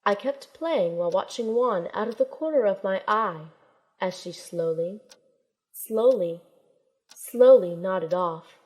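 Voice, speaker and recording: A woman's voice: medium pitch, lively intonation, slow, a little mellow, rough recording. A woman playing a plucky, kind young shaman heroine who narrates her own story in an audio drama. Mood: tense, storytelling. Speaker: narrator and protagonist. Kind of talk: first-person audio drama narration and acting.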